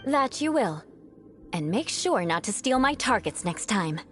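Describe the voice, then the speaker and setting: A woman's voice: medium pitch, very animated, unhurried. An actress playing a proud, theatrical young female fighter in a video game, with a haughty, dramatic manner. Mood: amused. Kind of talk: anime-style video game voice acting. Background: game music.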